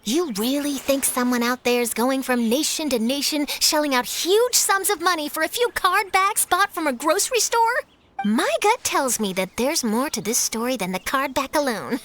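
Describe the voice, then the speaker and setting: A woman's voice: high voice, lively intonation, moderate pace, a bit bright, slight background noise. A woman playing an eager, inquisitive young female journalist in a video game, with a perky, articulate, girlish delivery. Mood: intrigued. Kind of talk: animated video game voice acting.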